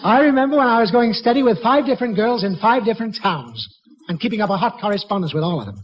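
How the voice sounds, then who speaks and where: A man playing a blustering, grouchy middle-aged office boss in a 1940s radio comedy, gruff and exasperated. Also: sits high, animated, quick pace, a little hiss or hum. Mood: boastful. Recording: dull old radio recording, loud audience.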